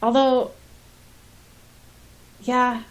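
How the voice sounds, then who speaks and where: A woman's voice: a little high, little pitch movement, very slow. A woman co-hosting a casual fan podcast, chatting and reading listener comments aloud. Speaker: podcast co-host.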